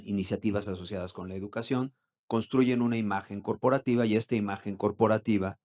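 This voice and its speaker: A man delivers panel remarks as a company executive. His voice is fairly low, and he moves haltingly, repeating words as he builds his points. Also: a little mellow.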